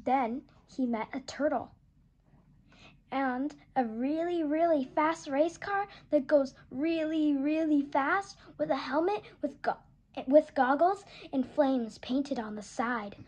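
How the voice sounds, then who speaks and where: A woman reading a children's picture book aloud, gentle and expressive, slowing down for the story and putting on small voices for the animals. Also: sits high. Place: indoors at a table, turning a picture book.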